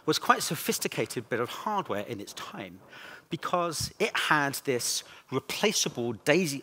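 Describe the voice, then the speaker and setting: A man speaking as a conference presenter in a technical talk, with a wry, opinionated manner and emphatic pauses. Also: medium pitch, a bit bright.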